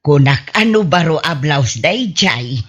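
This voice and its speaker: Husky Ilocano Filipino village wife acted by a woman, chatty, helpful, mildly grumbling, radio comedy, dull lo-fi sound. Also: deep voice, animated, measured pace, fairly bright tone, full character voice. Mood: gossipy.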